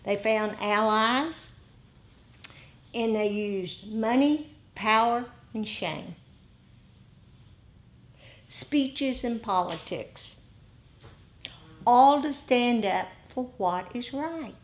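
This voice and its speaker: A woman meditation teacher giving a dharma talk to a group, speaking deliberately in short phrases with rhetorical questions. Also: fairly high pitch.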